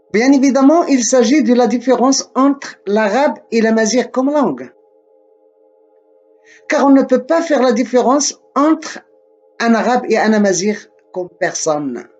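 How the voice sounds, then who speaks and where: A man from Morocco giving a slow, deliberate spoken lesson, often repeating and correcting himself. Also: very high-pitched, a little mellow, lo-fi sound.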